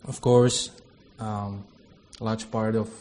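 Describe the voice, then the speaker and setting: A man's voice: lowish voice, flat delivery, slow pace, darkish tone, a little hiss or hum. A man from the Czech Republic with a Czech accent, a theology lecturer opening a workshop in a hesitant, filler-heavy way. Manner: hesitant, many fillers, self-deprecating. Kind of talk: informal workshop talk with a prayer.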